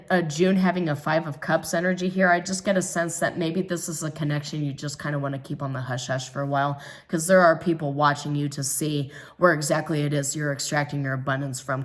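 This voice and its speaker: Hoarse woman giving a tarot reading. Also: low voice. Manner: musing, hesitant with many ums, emphatic about others' jealousy. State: hoarse from recovering illness. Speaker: tarot reader. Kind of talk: casual tarot reading monologue.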